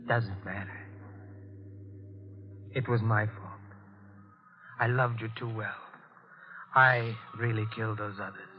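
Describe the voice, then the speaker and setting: A doomed, devoted lover in a vintage radio drama. He speaks slowly and wearily in a lowish man's voice. The recording is dull and old. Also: steady tone, slight background noise. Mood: resigned, tender. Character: weary, devoted doomed lover hiding murders. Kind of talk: 1940s radio thriller acting.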